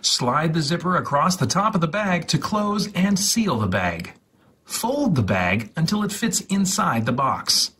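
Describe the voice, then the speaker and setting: A man giving a deliberate, dramatic reading of step-by-step instructions with exaggerated enunciation, in a husky, breathy voice. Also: fairly high pitch, big pitch swings, bright, forceful tone. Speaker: host.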